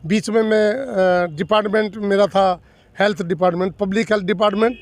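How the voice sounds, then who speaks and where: Earnest older man, high voice, dry sound. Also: steady tone, fairly quick, dry recording. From North Delhi villages, India. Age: 60s. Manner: earnest, repetitive, emphatic listing. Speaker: election candidate. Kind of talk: interview answers.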